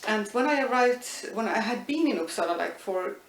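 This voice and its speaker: A middle-aged woman, thoughtfully answering interview questions with many pauses and restarts. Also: on the high side, animated. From Estonia. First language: Estonian. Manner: thoughtful, frequent hesitations and restarts. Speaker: interviewee, linguistics professor. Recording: echoey room, distant mic, faint noise.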